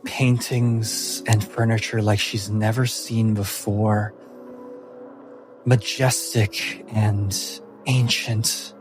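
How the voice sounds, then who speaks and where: A man narrating a tabletop fantasy story as game master, in deliberate, suspenseful fragments. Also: lowish voice, little pitch movement. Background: background music.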